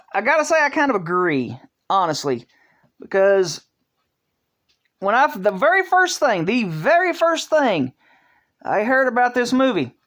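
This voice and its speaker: A vlog host giving casual opinion commentary, talking in a halting way, restarting phrases and filling pauses, in an earnest, conversational tone. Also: mid-range voice. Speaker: channel host.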